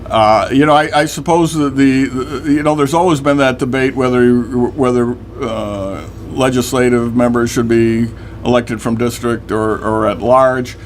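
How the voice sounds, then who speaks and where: Older male radio host, a bit hoarse, stammering, wry, faint background hiss. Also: medium pitch, fairly even intonation, measured pace. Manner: stammering, dry wry humor. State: a little hoarse. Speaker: radio talk show host. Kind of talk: casual radio talk.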